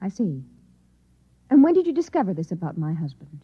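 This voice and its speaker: An actress playing a skeptical, weary housewife in an old-time radio drama, dry and exasperated. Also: female voice, on the low side, sing-song, a little fast, slightly rough, heavily acted. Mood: dry disbelief. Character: skeptical, weary housewife doubting a wild tale. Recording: dull old radio recording.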